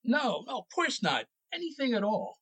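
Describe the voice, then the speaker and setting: A man playing a flustered, courteous husband under questioning, halting and defensive, in 1940s radio drama style. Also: very high-pitched, huge pitch swings, sharp tone, husky, full character voice. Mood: nervous, courteous.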